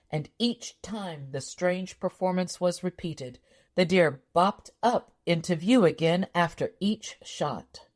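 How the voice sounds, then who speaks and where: A woman reading a tall tale aloud as scripted storybook narration. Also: on the low side. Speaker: audiobook narrator.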